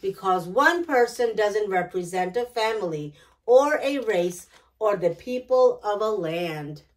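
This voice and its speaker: A middle-aged woman hosting storytime, reading a picture book aloud in an expressive, deliberate way. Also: mid-range voice, poor audio. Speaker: children's storytime host. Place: small decorated indoor storytime set.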